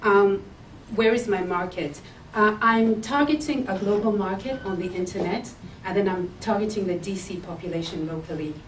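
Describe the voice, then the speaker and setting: A woman from Zambia pitching her business plan, hesitating often with ums and uhs. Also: moderate pace. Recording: echoey room, mic far off, faint hiss.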